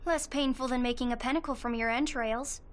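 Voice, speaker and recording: A woman's voice: high voice, fairly even intonation, a little fast, close to an everyday voice. A woman acting as an exasperated, sarcastic young student in a video game cutscene, with music behind her. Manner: sarcastic, exclaiming.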